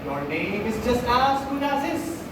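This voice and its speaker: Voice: mid-range voice, sing-song, measured pace. A performer playing an earnest male Roman conspirator in a school stage play, declaiming emphatically. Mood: earnest, discontented. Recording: distant, very echoey hall, clear noise.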